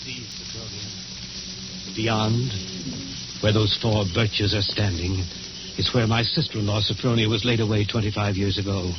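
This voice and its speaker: An actor playing a menacing, obsessed middle-aged husband in a slow, deliberate, ominous way, in old-time radio suspense style. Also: male voice, lowish voice, steady tone, natural voice. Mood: brooding, ominous. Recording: noisy, hissy, muffled old radio recording.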